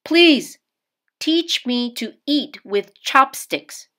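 An earnest young sailor in love voiced by a woman reading a storybook, fretful and emphatic. The pitch moves up and down in an animated way. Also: drawn-out words, full character voice. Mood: pleading.

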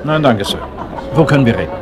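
Man playing a lively-voiced, probing police inspector. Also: medium pitch, fast, a loud crowd, heavy background noise, natural voice. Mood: polite, formal. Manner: courteous, methodical, dryly insistent questioning. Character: polite, probing male Scotland Yard chief inspector. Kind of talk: German crime radio play acting.

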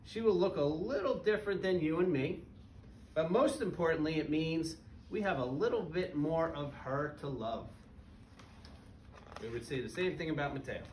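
A man in his thirties reads a picture book aloud to young children, using simple phrasing and putting questions to them as he goes. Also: on the high side. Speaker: parent guest reader at a school. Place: small elementary school classroom. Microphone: camera a few metres away, speaking through face mask. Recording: roomy, distant mic with background noise.

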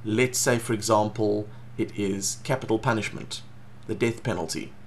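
A middle-aged man with a South African accent, an educator giving an earnest, steady talk to a webcam in a small home office. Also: fairly low pitch, moderate pace. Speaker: educator presenting. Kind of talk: scripted talk to camera.

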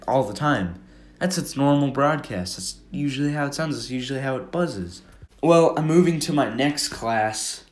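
A young American man giving rambling, informal commentary over a screen recording in an earnest way. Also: mid-range voice. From Minnesota, United States. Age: teenager or young adult. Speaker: amateur video maker. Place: room at home. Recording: roomy, echoey home recording.

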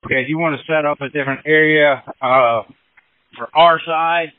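A man's voice: fairly high pitch, fairly even intonation, moderate pace, dry recording. A male fire battalion officer talking in emergency dispatch traffic over a two-way radio. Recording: narrow dry two-way radio transmission.

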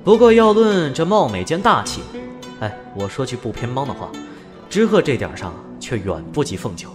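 Man acting a teasing immortal gentleman, lively swooping pitch, talkative, wry, audio drama, music behind. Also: normal speed, natural voice. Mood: playful, teasing. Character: chatty, teasing, genial male immortal, friend of a god.

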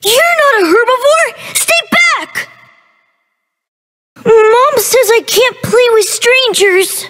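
A woman's voice: very high voice, animated, slow pace, a bit bright, big-room sound, exaggerated voice. A woman voicing a playful, innocent baby dinosaur for a video game, with a childlike, bubbly, exaggerated delivery. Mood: upset, defensive. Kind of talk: video game character voice lines.

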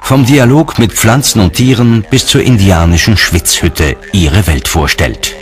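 A man's voice: fairly low pitch, measured pace. A man reading scripted voice-over narration for a documentary.

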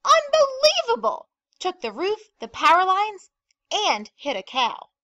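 A woman voicing excitable small-town gossips in a storybook, exclaiming breathlessly and emphatically as they spread exaggerated news. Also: very high-pitched, a little slow, muffled recording, voice pushed up, full character voice. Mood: alarmed, breathless. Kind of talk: animated storybook character reading.

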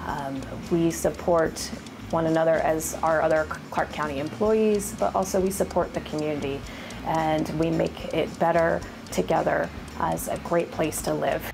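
A woman in her forties from Rhode Island, a county government affairs manager answering quick interview questions in an earnest, plain-spoken way. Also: low voice, steady tone, gravelly. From Rhode Island, USA. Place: office, indoors. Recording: background music underneath.

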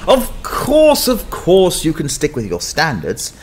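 An actor playing a hapless, wry adventurer who mutters and stammers in a dramatized fantasy audiobook. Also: male voice, a little high, huge pitch swings, slow, a little hiss or hum, heavily acted. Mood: nervous bluffing. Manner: muttering to himself, stammering, sardonic.

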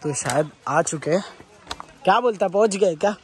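A man's voice: high-pitched, expressive, normal speed. A young man vlogging to his phone camera outdoors on a forest trek, chatty and enthusiastic as he walks. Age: young adult. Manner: chatty, enthusiastic, talks to camera while walking. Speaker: travel vlogger. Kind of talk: casual trekking vlog. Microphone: phone at arm's length.

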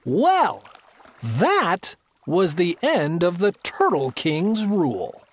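A woman narrating a children's storybook aloud, reading the verse in an expressive, emphatic, theatrical way. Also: fairly low pitch, takes it slowly, breathy edge.

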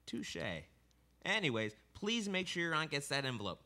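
Man as proud, perky postman for radio play, high-pitched and bright. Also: big pitch swings, moderate pace, exaggerated voice. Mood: chipper. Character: chipper, proud male mail carrier. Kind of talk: live radio theater acting, scripted.

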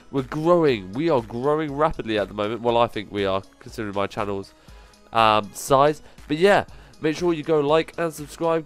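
A man with a cold, sounding nasal, giving excitable commentary as he plays through a survival game, full of quick exclamations. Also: mid-range voice. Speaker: gaming channel host. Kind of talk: gameplay commentary.

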